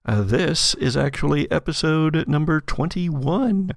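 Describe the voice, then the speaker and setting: A man hosting and game-mastering an actual-play audio drama, telling the story in a measured, dramatic way. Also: on the high side, animated, creaky, dry recording, clean studio sound.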